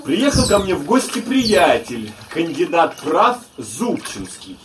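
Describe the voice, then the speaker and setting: A man playing a genial, well-off gentleman patron, telling stories eloquently in a live audio play. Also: fairly high pitch, huge pitch swings, takes it slowly, a little hiss or hum, heavily acted. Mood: leisurely reminiscing. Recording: distant stage recording, audience around.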